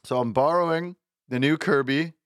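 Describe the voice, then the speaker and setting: A man's voice: a little high, moderate pace, fairly bright tone, clear, resonant tone, no room sound. Easygoing young man with dry close sound. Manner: easygoing, laughs often, wry humor. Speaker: podcast co-host. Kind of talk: casual chat.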